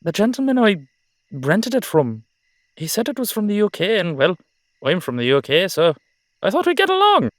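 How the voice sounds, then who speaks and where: Exasperated Irish headless horseman played by a man: blustering, sweary, loud, pitched up, audio drama, close dry sound. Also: wildly swooping pitch, normal speed, no room sound. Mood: defensive. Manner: blustering, profane, complaining. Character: exasperated, foul-mouthed Irish dullahan horseman. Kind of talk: audio roleplay drama acting.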